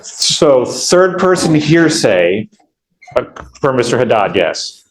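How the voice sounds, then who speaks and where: A man speaking as a defense attorney in court, explaining in a measured, somewhat halting way. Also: mid-range voice, very animated, a little hiss or hum, poor audio. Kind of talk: courtroom argument. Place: indoor courtroom.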